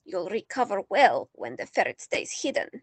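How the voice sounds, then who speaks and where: A woman playing an older Greek-born artist and grandmother, calm and dryly teasing in an audio drama. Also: mid-range voice, moderate pace, husky, dry recording. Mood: wry. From Greece.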